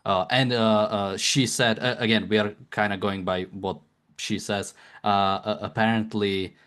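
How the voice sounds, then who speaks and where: Low-voiced joking male co-host. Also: flat delivery, a bit bright, clear, resonant tone. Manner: joking, halting, many fillers and restarts. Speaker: podcast co-host. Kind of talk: casual political commentary.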